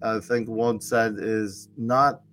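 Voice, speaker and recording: A man's voice: on the low side, steady tone, fairly bright tone, faint music behind. Earnest man hosting a reflective talk. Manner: earnest, halting, restarts often. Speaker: podcast host. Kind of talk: reflective casual conversation.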